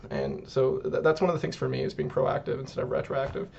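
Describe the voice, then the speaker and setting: Fast-talking gravelly man on a podcast. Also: mid-range voice, steady tone, darkish tone, lo-fi sound, a little hiss or hum. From Northern California. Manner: rambling, self-correcting. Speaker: podcast co-host. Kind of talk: casual podcast chat.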